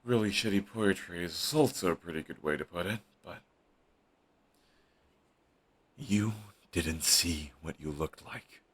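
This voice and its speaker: A man's voice: deep voice, normal speed, a bit bright, husky. A young man playing a bitter, fiercely devoted male villain in an audio roleplay, talking in an intense, raw way and swearing often. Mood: grieving.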